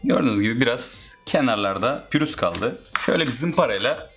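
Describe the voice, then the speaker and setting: A man's voice: mid-range voice, lively intonation, a little fast, music in the background. A man narrating a casual step-by-step how-to as a DIY host.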